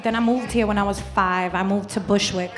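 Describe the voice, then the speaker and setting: A woman DJ from Puerto Rico, relaxed and playful as a radio guest. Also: medium pitch, brisk, soft background music. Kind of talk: casual radio interview. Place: storefront music bar.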